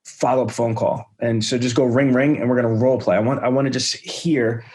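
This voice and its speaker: A man's voice: medium pitch, fairly even intonation, brisk, a little mellow. A man hosting a sales podcast, talking with energy and enthusiasm, praising and setting up role plays. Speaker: podcast host, sales trainer.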